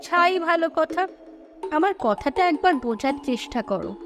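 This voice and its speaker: High, animated village wife acted by a woman. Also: normal speed, music in the background. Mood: fretful. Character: clever, fretful-sounding village wife in a cartoon. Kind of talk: cartoon story dialogue.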